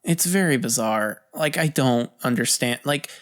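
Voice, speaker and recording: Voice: mid-range voice, moderate pace. Rambling, sweary podcast host. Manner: rambling, repetitive, heavily sweary. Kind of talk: casual movie chat.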